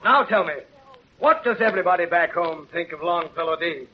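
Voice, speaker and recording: An actor playing a pompous, formal prosecuting lawyer in a vintage radio courtroom drama. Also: male voice, high-pitched, brisk, natural voice. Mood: smooth, explaining. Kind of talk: 1930s-40s radio drama acting. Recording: muffled, noisy 1930s-40s radio recording.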